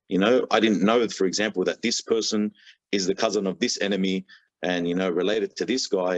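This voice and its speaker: A man's voice: fairly low pitch, dry recording. A man speaking as a podcast guest in a casual, rambling way, filling his talk with "you know" and "sort of" and restarting his sentences often. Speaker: podcast guest, religious reciter. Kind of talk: casual conversational interview. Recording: dull, lo-fi, dry podcast audio.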